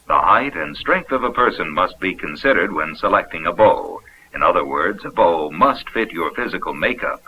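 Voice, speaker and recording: A man's voice: low-pitched, lively intonation, moderate pace. An American man reading scripted narration for a 1970s instructional film in a folksy, upbeat, explanatory manner. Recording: dull, noisy vintage 16mm film soundtrack.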